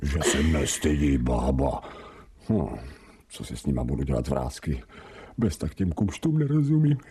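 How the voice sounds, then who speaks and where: Very deep, grumbling cynical stray dog. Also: male voice, lively intonation, warm tone, slightly rough, far from the mic, exaggerated voice. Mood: scornful. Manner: coarse, sardonic muttering. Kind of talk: radio drama inner monologue.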